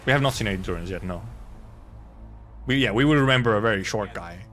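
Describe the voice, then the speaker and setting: A male streamer with a low voice reacts casually to a game. His asides are wry and offhand, often muttered. Faint music sits behind him. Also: animated, fast talker, a bit bright.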